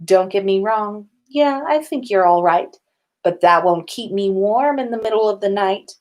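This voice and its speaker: A woman's voice: mid-range voice, normal speed, lightly acted. A woman voicing a teenage boy wizard with a sassy, teasing delivery, reciting a mocking song in a story reading.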